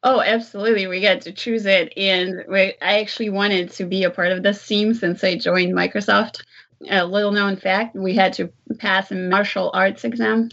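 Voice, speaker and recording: Gravelly-voiced woman on a muffled line. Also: mid-range voice, steady tone, normal speed, a bit bright. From Ukraine and Israel. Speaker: guest, cloud engineer. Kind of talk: podcast interview.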